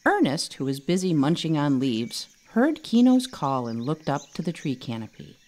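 Woman reading a kids book, slightly low voice, super swoopy dramatic storytelling. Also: sing-song, moderate pace, a little mellow. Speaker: author reading own storybook. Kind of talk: children's story narration.

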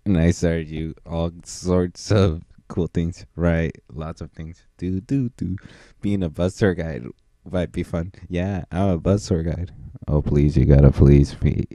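A man's voice: low voice, steady tone, unhurried, dark tone, no echo, studio quality. A man doing a goofy, improvised parody dub as an amateur voice performer, with crude joking and silly repeated nonsense. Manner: silly, repetitive nonsense ad-libbing.

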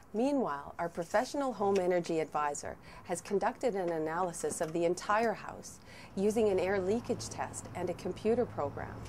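A Canadian woman in her thirties presenting a home energy television segment, with clear, measured scripted narration. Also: lowish voice, a little mellow, slight background noise. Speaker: TV host.

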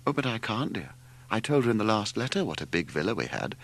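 A man's voice: fairly low pitch, big pitch swings, fast talker, creaky, fairly bright tone, full character voice. A man voicing a flustered middle-aged English mother in an audiobook, hesitant and fussing. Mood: anxious, flustered.

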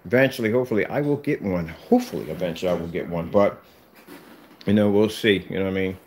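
A man's voice: sits low, quick pace, a little hiss or hum. A man, chatting casually to viewers in his home theater room and repeating himself as he rambles. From Philadelphia. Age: 50s. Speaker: screen paint vlogger. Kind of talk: casual chat to viewers while livestreaming.

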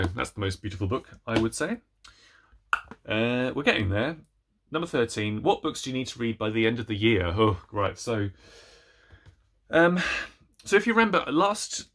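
A man's voice: lowish voice, a bit bright. A man in his thirties chatting casually about books to camera, hesitant and rambling, often breaking off mid-sentence. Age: 30s. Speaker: book reviewer vlogger. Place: small indoor room with bookshelves.

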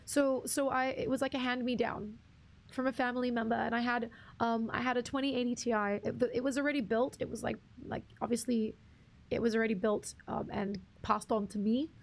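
A young woman with a husky voice, chatting casually with viewers on a game stream, rambling and restarting her sentences. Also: fairly high pitch, fairly even intonation, brisk. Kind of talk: casual live-stream chat reading viewer comments.

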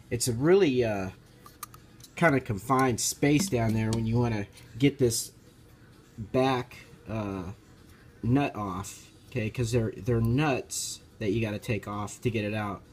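A man giving a casual, hands-on repair walkthrough in an informal, halting, hesitant way, recorded on a handheld camera. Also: on the low side, a little mellow. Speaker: amateur car repair video maker. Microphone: handheld camera held over the engine. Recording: noticeable background noise, amateur handheld camera.

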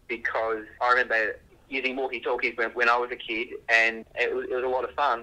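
A man heard over a walkie-talkie style link, giving a halting, unscripted explanation in an interview. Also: mid-range voice, pushed and bright, rough recording. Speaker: engineer being interviewed.

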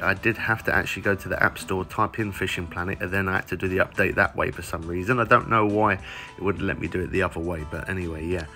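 A man giving a casual walkthrough of a fishing game's update, his voice croaky from lack of sleep, chatting in a rambling, self-correcting way as he searches the menus. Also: low-pitched, pushed and bright. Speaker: gaming YouTuber. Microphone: phone recording mic.

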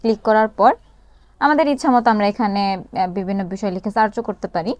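A woman presenting a casual step-by-step app tutorial, explaining each action haltingly as she does it. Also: mid-range voice, dry recording.

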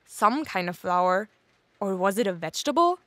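An actress playing a scatterbrained, sarcastic investigator who rambles and interrupts herself in an audio drama log. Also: female voice, mid-range voice, expressive, moderate pace, fairly bright tone, no echo, natural voice. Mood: scattered, musing.

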